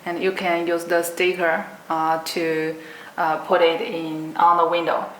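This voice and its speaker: A young woman giving an unscripted product demonstration in short, simple, halting phrases. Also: lowish voice, little pitch movement. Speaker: product demonstrator for an electronics brand. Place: indoor room at a table with green backdrop. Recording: roomy echo, clearly noisy background.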